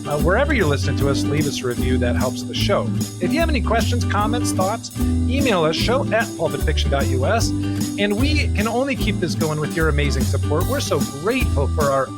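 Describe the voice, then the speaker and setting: A man's voice: on the high side, big pitch swings, fairly quick, over loud music. A man co-hosting a podcast, discussing scripture conversationally in an earnest way, often posing rhetorical questions. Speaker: podcast co-host.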